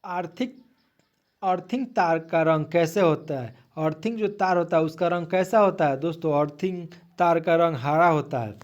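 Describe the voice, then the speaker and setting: A man teaching an exam-prep quiz, reading out questions haltingly and repeating the answers to his listeners. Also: on the high side, dull audio. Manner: repetitive, asks then restates answers, addresses listeners as friends.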